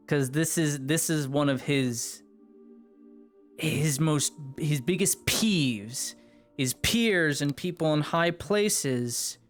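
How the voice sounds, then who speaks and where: A man narrates his roleplay character in the third person, slow and musing, with a fairly high, lively voice. Soft music runs underneath. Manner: slow, musing, fond of pauses and hums. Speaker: roleplay streamer.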